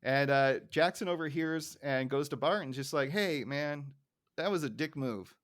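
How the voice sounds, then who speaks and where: A man's voice: a little high, normal speed, a bit bright. An American man in his forties hosting a podcast, chatting casually as he recaps an episode. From Southern California, United States. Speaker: podcast host.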